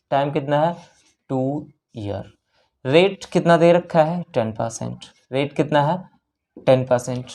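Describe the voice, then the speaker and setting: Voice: mid-range voice, darkish tone. A maths teacher working through exam practice problems step by step, repeating numbers and checking in with the listener as they go. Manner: repeats numbers and keeps checking in with "theek hai"; mixes English terms into the explanation.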